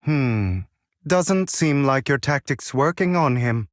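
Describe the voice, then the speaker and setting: An actor playing a suave, sly gentleman in a video game, courteous and teasing, with elegant, theatrical delivery and drawn-out words. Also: medium pitch, expressive, dry recording. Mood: amused.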